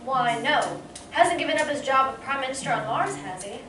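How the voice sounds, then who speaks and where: A woman playing an inquisitive, excitable heroine in an old-time space radio serial, with dramatic delivery. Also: high voice, moderate pace. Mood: bright curious. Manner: eager, dramatic, exclaiming. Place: community theatre stage. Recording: echoey stage from far off, faint noise.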